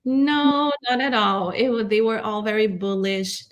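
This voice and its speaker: Brazilian accent woman, 40s, mid pitch voice, reflective interview guest chatting about herself. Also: a little slow. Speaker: interview guest, arts nonprofit director. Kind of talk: conversational interview answers.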